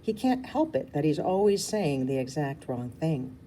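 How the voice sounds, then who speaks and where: Woman, children's story read-aloud, low pitch, very varied intonation, occasional self-corrections, faint background noise. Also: big pitch swings, moderate pace, dark tone. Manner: stumbles and corrects herself. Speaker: reader of a children's novel.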